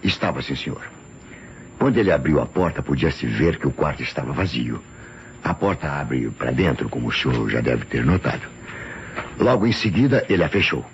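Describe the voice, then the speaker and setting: A man's voice: extremely low pitch, animated. An actor with a Brazilian accent playing a polite male doctor giving careful, formal testimony in an old radio drama, on a muffled, noisy recording. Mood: earnest, explanatory. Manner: formal, explanatory, deliberate.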